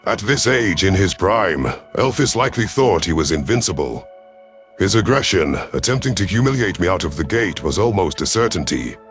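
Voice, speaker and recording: Casting: male audiobook narrator, deep voice, measured and solemn sci-fi storytelling over faint music. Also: expressive. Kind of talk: scripted first-person sci-fi narration.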